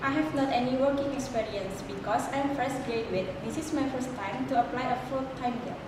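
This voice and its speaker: A woman's voice: high-pitched, fairly quick. A nineteen-year-old woman, playing a fresh graduate at a job interview and giving polite, rehearsed answers. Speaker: job applicant in a student role-play. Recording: echoey room, far from the mic, noisy.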